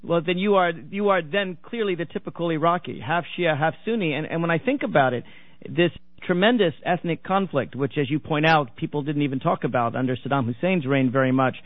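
A man's voice: fairly high pitch, fairly quick, no room sound. Phone-thin male radio host. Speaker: radio talk show host. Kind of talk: radio interview. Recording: dry, phone-like narrow sound.

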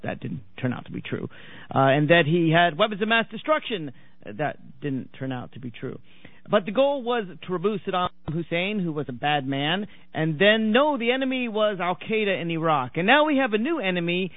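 A man's voice: a little high, dry recording. A man hosting a radio interview show, talking in a conversational, wry way. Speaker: radio talk show host. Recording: dry, phone-like narrow sound.

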